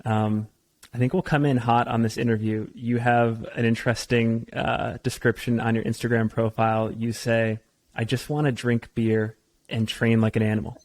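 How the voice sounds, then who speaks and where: A man's voice: mid-range voice, flat delivery, normal speed, a little mellow. A man hosting a podcast, asking easygoing, rambling questions in a casual interview. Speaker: podcast host.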